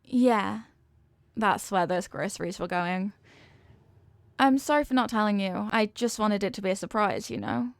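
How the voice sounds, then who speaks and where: A woman's voice: medium pitch, normal speed, vocal fry, dry recording, natural voice. A woman playing a sweet, devoted headless horsewoman girlfriend in an intimate ASMR roleplay, affectionate, playful and gushing. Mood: dreamy, loving.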